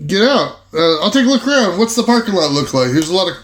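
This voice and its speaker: A man's voice: high-pitched, sing-song, quick pace, fairly bright tone. A man playing a scruffy, courteous investigator, narrating his actions in a tabletop game. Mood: casual, observant. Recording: echoey room.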